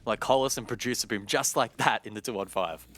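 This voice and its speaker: A man's voice: on the low side, a little fast, fairly bright tone, a little hiss or hum. A man giving live, analytical play-by-play commentary on a competitive video game match in a wordy style. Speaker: esports commentator. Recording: gunfire and clicks behind the voice. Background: video game gunfire.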